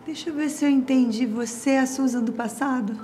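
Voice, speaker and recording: A woman's voice: high voice, brisk, warm tone, lightly acted. An actress playing a wry, questioning businesswoman in a film drama, with faint music behind.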